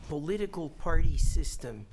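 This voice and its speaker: An older man from Central America answering audience questions on a panel in a measured, deliberate way, often restarting his phrases. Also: a little high, expressive, background noise. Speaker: panelist, former vice president.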